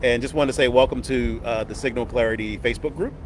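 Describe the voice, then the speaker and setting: A man's voice: mid-range voice, fast. A man hosting a casual livestream outside a hotel, upbeat and folksy, laughing easily. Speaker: host. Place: outdoors by a hotel on a busy street. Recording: loud outdoor traffic noise.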